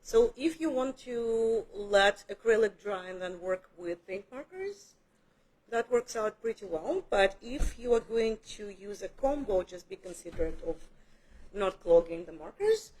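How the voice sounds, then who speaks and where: A woman's voice: medium pitch, animated, a little slow, a little mellow, low-quality recording. A woman casually walking a group through a painting demo in a studio, chatty and a little self-deprecating. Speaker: art instructor. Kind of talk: casual live demo teaching. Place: art studio room.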